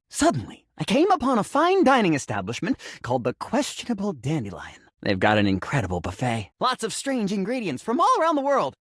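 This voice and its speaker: Flustered talking sink, wildly animated pitch, dry close recording, theatrical, rambling, deadpan absurd, melodramatic game character. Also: male voice, no echo, full character voice. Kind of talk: video game character voice acting.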